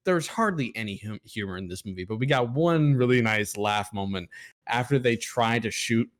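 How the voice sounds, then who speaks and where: A man with a mid-pitched voice chats about film as a review co-host. His delivery is casual and rambling, full of halting restarts. He swears freely as he goes.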